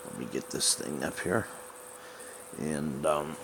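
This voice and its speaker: A man talking casually and haltingly as he rambles through a hobbyist's gadget troubleshooting demonstration. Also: rumbling low, vocal fry. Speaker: radio hobbyist. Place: small room at home, indoors. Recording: noisy home recording with background hiss.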